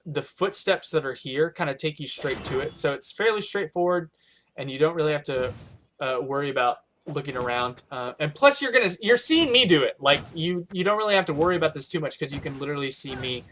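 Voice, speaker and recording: Hoarse guy rambling through a mobile game, kinda high voice, lots of ums. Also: expressive, fairly quick, sharp tone, a little hiss or hum. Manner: rambling, lots of fillers and restarts. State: hoarse, a little winded. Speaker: gaming channel host. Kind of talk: casual gameplay commentary.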